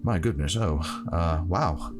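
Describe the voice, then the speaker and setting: Man voicing a kindly low-voiced monk. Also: music in the background. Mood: astonished, earnest. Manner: gentle, halting, earnest. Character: kind, fatherly monk of a fantasy shrine.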